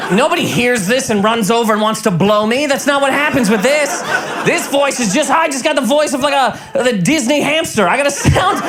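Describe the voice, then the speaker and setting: A young man performing stand-up comedy in a club, with a high-pitched, boyish voice and an animated, shouty delivery. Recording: live club recording with crowd noise.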